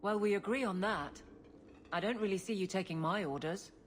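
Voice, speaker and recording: An actress playing a blunt, proud captain of a city guard in a video game, speaking in a dry, firm, no-nonsense manner. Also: female voice, mid-range voice, moderate pace, lightly acted. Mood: candid, teasing. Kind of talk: video game cutscene acting. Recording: game audio with background ambient noise.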